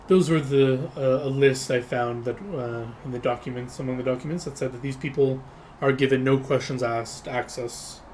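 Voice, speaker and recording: A man acts out a freelance spy for a tabletop game. The voice is male and mid-pitched, with formal, measured, slightly hesitant speech. There is faint noise behind it. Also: steady tone, a little mellow, close to an everyday voice. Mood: formal, reporting.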